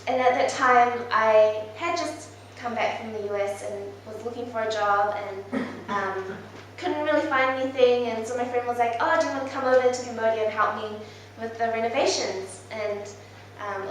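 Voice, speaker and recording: A young woman gives a presentation to her church, her voice sitting a little high. She talks informally with plenty of ums. The recording is heard from a distance across a very echoey hall. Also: moderate pace, hardly any pauses, slight background noise. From Malaysia, raised in New Zealand. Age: 20s. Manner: informal, with frequent ums and soft laughs. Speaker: church speaker presenting her mission work. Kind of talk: presentation talk to a church congregation.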